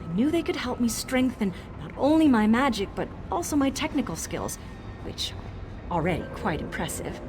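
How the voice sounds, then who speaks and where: A woman's voice: fairly high pitch, sing-song, normal speed, music in the background. A woman voicing an eager, boastful young female mage tinkerer in a video game, dramatic and nervously evasive. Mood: sheepish, confessing. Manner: dramatic, self-congratulating, hesitant when cornered. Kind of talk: video game character dialogue.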